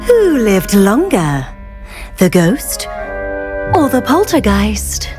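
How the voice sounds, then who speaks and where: An enchanted riddle-keeper in a fantasy game. The voice is slow and cryptic, rising and falling theatrically, with music behind. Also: female voice, mid-range voice, very animated. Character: enigmatic enchanted riddle-posing door guardian. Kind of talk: fantasy game character acting.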